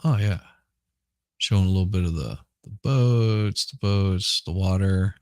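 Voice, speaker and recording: A middle-aged man chatting casually as a podcast host, hoarse and rambling, often repeating words and restarting. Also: low voice, a little slow, darkish tone, no room sound, clean studio sound. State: hoarse from smoke. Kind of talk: casual livestream chat.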